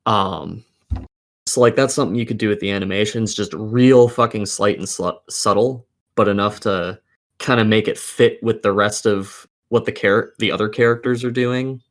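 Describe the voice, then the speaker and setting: A man's voice: on the low side, moderate pace. A man co-hosting a casual video game discussion, giving opinions with plenty of swearing. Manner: profane, opinionated. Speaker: podcast co-host.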